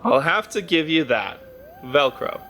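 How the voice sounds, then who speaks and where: A man's voice: on the high side, very animated, unhurried, pushed and bright, slight background noise, exaggerated voice. A man playing a skeptical, grumbling radio co-host in an audio drama, blunt and sardonic. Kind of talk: radio drama, conversational broadcast banter.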